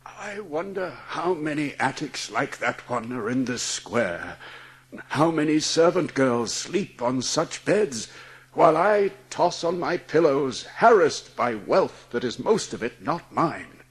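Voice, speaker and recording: An actor playing a guilt-ridden, ailing, middle-aged gentleman in a dramatised audiobook, speaking with halting anguish. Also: on the high side, big pitch swings, breathy edge, heavily acted. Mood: brooding.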